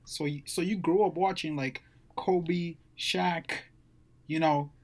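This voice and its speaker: A man's voice: a little high, fairly even intonation, slow, a little mellow. Young man from Zimbabwe, slangy and casual. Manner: casual slang, addresses friends as bro. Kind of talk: casual storytelling chat.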